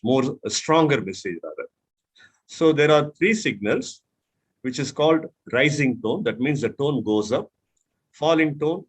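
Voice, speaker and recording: A man with a South Indian accent leading an interactive lesson, explaining deliberately phrase by phrase. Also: mid-range voice, a bit bright. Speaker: mentor leading a public speaking session.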